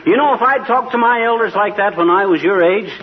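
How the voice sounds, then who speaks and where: An actor playing a blustering, boastful, middle-aged husband in a 1940s radio comedy. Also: male voice, high voice, a little fast, over a noisy crowd, natural voice. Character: blustering, boastful, jealous husband, teller of tall tales. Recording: muffled, very noisy 1940s radio recording.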